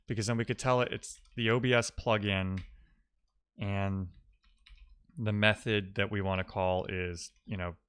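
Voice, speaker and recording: A man who streams live coding, chatting casually and musing out loud in loose fragments as he works. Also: fairly low pitch, clear, resonant tone. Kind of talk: casual thinking-aloud coding stream chat.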